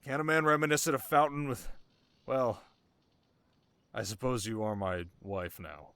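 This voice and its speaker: An actor playing a sharp, haunted young king in an intimate ASMR romance roleplay, talking wryly and dramatically to his listener. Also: mid-range voice, steady tone, moderate pace, smooth, dry recording, lightly acted. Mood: wry teasing. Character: young desert king, sharp, wry, haunted, teasingly tender.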